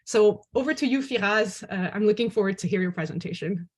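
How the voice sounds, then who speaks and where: A woman's voice: medium pitch, expressive, brisk, slightly rough. A woman hosting an online dialogue, giving a prepared presentation. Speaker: host and moderator.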